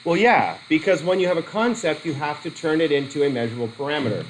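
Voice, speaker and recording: A middle-aged man with a cold teaches a methods class, his voice sitting a little high for a man. He stops and restarts his sentences as he puts questions to the students. A faint hiss runs under the classroom recording. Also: lively intonation, fast talker, low-quality recording. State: has a cold. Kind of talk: classroom lecture. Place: classroom with a whiteboard.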